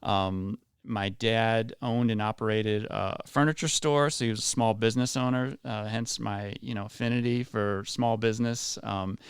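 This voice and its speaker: A man's voice: lowish voice, steady tone, normal speed, few pauses, smooth, no echo. A man around forty from West Virginia, a community leader speaking earnestly and effusively as a guest in a radio interview. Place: radio studio.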